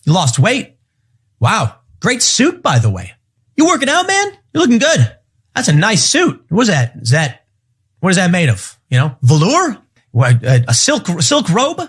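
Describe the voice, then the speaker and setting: A man voicing an overexcited male coworker who gushes flattery in a shouted, swooping voice. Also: high voice, moderate pace, breathy edge, pitched above the performer's own voice, full character voice. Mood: gushing.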